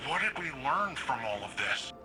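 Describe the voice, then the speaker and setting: A man's voice: mid-range voice, big pitch swings, normal speed, close to an everyday voice, rough recording. An actor playing a casual, curious younger man, informal and inquisitive, in a video game. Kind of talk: scripted game dialogue over radio. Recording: music behind the voice.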